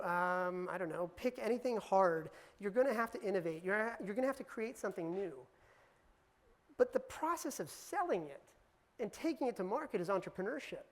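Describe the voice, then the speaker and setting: Chatty woman teasing a live audience. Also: medium pitch. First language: Punjabi. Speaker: keynote speaker. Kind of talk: conference talk to a live audience.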